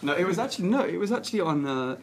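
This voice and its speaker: A man's voice: sits high, big pitch swings, racing, slight background noise. A young man from England, a filmmaker guest, chatting casually with lots of hesitation and easy laughter. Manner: rambling, hesitant, laughs easily. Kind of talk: casual chat.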